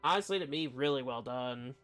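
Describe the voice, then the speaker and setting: A man's voice: medium pitch, brisk, fairly bright tone. A man giving casual, rambling commentary as a game streamer, often breaking off mid-sentence. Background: faint game music.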